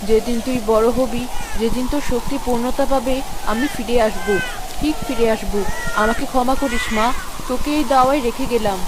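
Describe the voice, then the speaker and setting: A woman's voice: on the high side, a little fast, background noise, close to an everyday voice. A woman voicing a tender, sorrowful fairy mother in a solemn, pleading cartoon style. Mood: solemn, grieving. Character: sorrowful fairy mother forced to abandon her baby. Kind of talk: dramatic cartoon storytelling.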